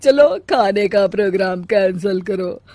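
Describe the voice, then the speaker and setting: A woman voicing an easygoing middle-aged male boss who talks in a drawn-out, reassuring way in a cartoon story. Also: mid-range voice, lively intonation, mellow, no room sound. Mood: genial, reassuring.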